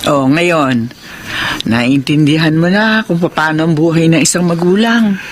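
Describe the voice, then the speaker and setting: A woman acting a caring older mother of grown children, gentle and halting, in a family audio drama. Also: deep voice, a little hiss or hum. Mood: teasing, approving. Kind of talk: dramatic Tagalog radio-style drama acting.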